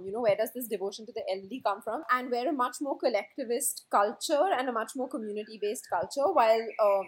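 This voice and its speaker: Female host, husky and thoughtful, guides the panel with questions. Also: fairly high pitch, poor audio. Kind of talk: moderating a discussion.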